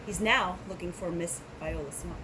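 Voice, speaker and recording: A woman's voice: on the low side, normal speed, heavy background noise. A woman reading a children's storybook aloud, adding casual asides. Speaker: storybook reader.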